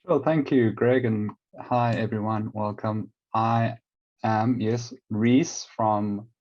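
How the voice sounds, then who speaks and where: A young man from South Africa introducing himself on a panel, brisk and polite. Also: on the low side, fairly even intonation, a little mellow. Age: young adult. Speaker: panelist, alumnus teacher. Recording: dull lo-fi call audio.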